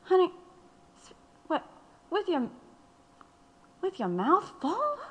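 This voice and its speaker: A woman's voice: extremely high pitch, huge pitch swings, takes it slowly, muffled recording, full character voice. A woman playing a wisecracking, world-weary prisoner in an audio drama, slangy and drawling. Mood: sardonic. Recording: reverberant, echoing room.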